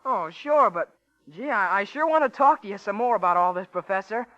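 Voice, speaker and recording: An actor playing an eager, earnest young college student with gee-whiz boyish enthusiasm, in 1940s radio drama style. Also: male voice, high voice, lively intonation, brisk, sharp tone. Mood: earnest, inquisitive. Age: young adult. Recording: muffled old radio broadcast.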